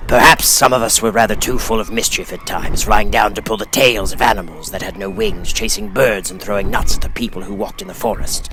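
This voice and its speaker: A man's voice: fairly low pitch, expressive, brisk, gravelly, few pauses, music in the background. A man voicing a courteous, good-humoured winged monkey king telling his story in a storybook reading. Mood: reminiscing. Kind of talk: audiobook character voice.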